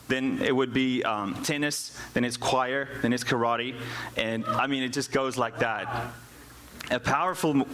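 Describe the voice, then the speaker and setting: Halting South African man over background noise. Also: medium pitch, fairly bright tone. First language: Afrikaans. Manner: halting, repeats words while searching for phrasing. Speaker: worship musician and music teacher giving a testimony. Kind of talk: informal personal testimony to a church audience.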